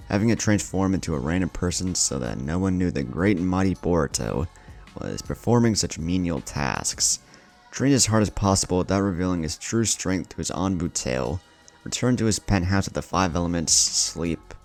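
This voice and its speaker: A man's voice: low-pitched, vocal fry, darkish tone. A man narrating a scripted fan fiction story as a channel storyteller, with music playing behind him. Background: background music.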